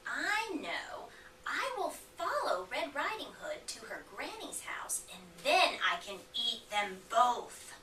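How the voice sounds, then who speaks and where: A woman's voice: a little high, sing-song, takes it slowly, sharp tone, a little hiss or hum, heavily acted. A woman voicing a devious male wolf with a coaxing, scheming way of talking, recorded in an echoey room from a distance.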